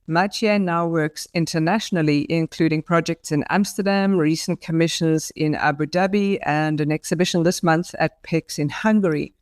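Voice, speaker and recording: A woman's voice: fairly low pitch, dry recording. A woman hosting an interview show, reading a scripted introduction and asking long, multi-part questions. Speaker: podcast host.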